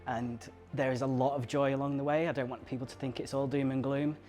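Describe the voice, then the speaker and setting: A man from Surrey speaking earnestly and emotionally in an interview, pausing and restarting as he goes. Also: medium pitch, little pitch movement, darkish tone, faint music behind. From Surrey, England. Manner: earnest, halting, moved. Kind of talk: emotional personal testimony.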